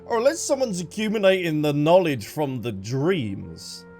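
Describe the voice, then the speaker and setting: A man giving casual playthrough commentary as a game streamer, playful and jokey, reacting out loud. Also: a little high, very animated, normal speed. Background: game music.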